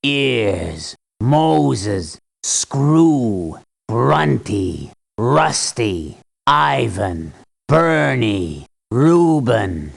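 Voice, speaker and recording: An actor voicing a gruff, weary mercenary for hire in a video game, with terse, gravelly lines. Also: male voice, medium pitch, very animated, very slow, heavily acted. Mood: flat, terse. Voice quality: gravelly, husky.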